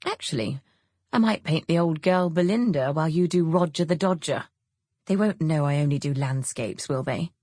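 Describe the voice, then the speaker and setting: A woman reader acts out a witty, bohemian woman friend in an audiobook novel. She is petulant and caustic, teasing in a dry theatrical drawl. The voice is husky and fairly low, recorded close and dry. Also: lively intonation, moderate pace, darkish tone, no echo. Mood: scheming, delighted. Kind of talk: audiobook character dialogue.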